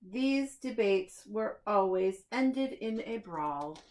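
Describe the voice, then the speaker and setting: A woman reading a children's picture book aloud in an expressive, deliberate storytelling manner, as if to young listeners. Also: medium pitch, a little hiss or hum. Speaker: preschool staff reader.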